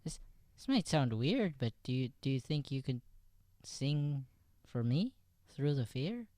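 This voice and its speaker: A man's voice: medium pitch, very animated, a little slow, dark tone, dry recording. An actor playing an earnest, cautious Irish boy who stammers and repeats himself in an improvised radio comedy.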